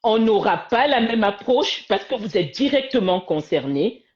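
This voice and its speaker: A woman's voice: medium pitch, lively intonation, normal speed, a little mellow. A middle-aged woman in a heated online debate, insistent and repeating names to cut in. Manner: insistent, repeats name to interrupt. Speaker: panelist. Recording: muffled lo-fi stream audio.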